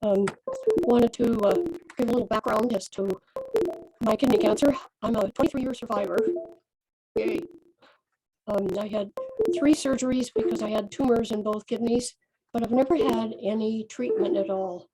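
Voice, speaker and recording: A woman with a froggy voice, congested from a sinus infection, haltingly giving an informal personal testimony as a patient speaker. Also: a little high, steady tone. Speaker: patient speaker sharing her experience. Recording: loud background noise, clicks.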